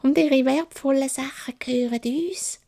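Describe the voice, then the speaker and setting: A woman's voice: high voice, moderate pace, dark tone, pitched above the performer's own voice. A woman voicing envious, scheming sisters in a Swiss German fairy tale, conspiratorial and half-whispered. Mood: scheming, gleeful. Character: two envious scheming older sisters, sly and gleeful.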